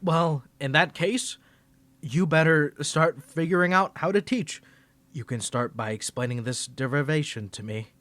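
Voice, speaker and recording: A man's voice: a little high, measured pace, a bit bright, dry recording. A man voicing a flustered young tsundere male student rival in an ASMR roleplay, teasing and defensive, stammering when caught off guard. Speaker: ASMR creator.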